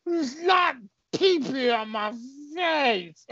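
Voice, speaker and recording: A performer voicing a dim, childish cop who whines and wails in slow, drawn-out words. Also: sits high, expressive, fairly bright tone, no room sound, highs cut off, voice pushed up, heavily acted. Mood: indignant. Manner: wailing, slow, drawn-out delivery.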